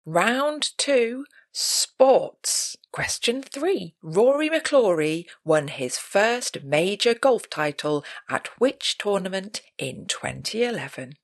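A woman reading out a scripted pub quiz as host, in a measured, announcing manner. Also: medium pitch, very animated.

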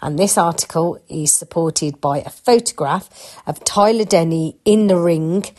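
A woman's voice: lowish voice, measured pace, mellow. A woman reading newspaper articles aloud, a little stuffed up and short of breath from a cold, with plain asides describing the photos. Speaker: newspaper reader for listeners.

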